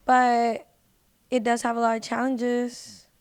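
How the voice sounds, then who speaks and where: A woman's voice: on the high side, completely flat delivery, slow, clean tone. A woman speaking casually and earnestly as a guest in a podcast conversation. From United States. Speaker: podcast guest, singer and social media personality.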